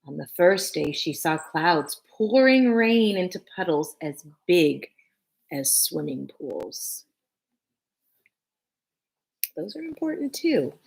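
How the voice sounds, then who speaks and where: Low, husky woman reading to children. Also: big pitch swings, darkish tone, rough recording. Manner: addresses young listeners, pausing between short phrases. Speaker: teacher reading a picture book aloud. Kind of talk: expressive children's read-aloud with casual asides.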